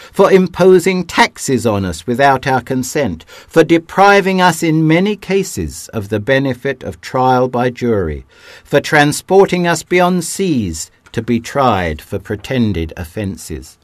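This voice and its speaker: A man giving a measured, declamatory reading of a historic document, dropping low at the ends of phrases. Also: no echo, studio quality. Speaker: reader.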